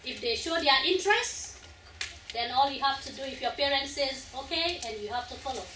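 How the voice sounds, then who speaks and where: A woman's voice: high voice, lively intonation, a little fast, pushed and bright. A young woman from Africa, the playwright and an actress, earnestly explaining at length to an audience after a stage play. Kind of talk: post-show question and answer. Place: college theater hall. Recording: echoey hall, far from the mic, noisy.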